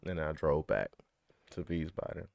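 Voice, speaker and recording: A man from Georgia, a former soldier chatting as an interview guest, rambling with many fillers and pauses. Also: a bass voice, animated, vocal fry, a little mellow, no echo. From Georgia, USA. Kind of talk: casual interview chat.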